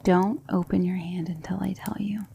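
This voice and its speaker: A woman playing a gentle, patient demon mentor in an ASMR roleplay, coaxing her student with soft, deliberate instruction that often drops toward a whisper. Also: lowish voice, fairly even intonation, vocal fry, a little hiss or hum. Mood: hushed, coaxing. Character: gentle female demon mentor teaching magic, patient, reassuring.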